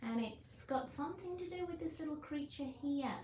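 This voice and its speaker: A woman's voice: high-pitched, moderate pace, a little mellow. A woman leading children's story time as a teacher, encouraging and asking her listeners questions. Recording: echoey room, faint noise.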